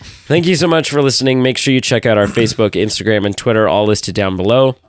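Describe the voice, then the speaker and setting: A man with a hoarse, nasal voice that is wearing out, sitting a little low in pitch. He chats and jokes in a sarcastic, teasing way as a podcast co-host. The sound is dry and close, with no room around it. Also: quick pace, dry recording. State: hoarse, losing his voice. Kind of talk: casual chat.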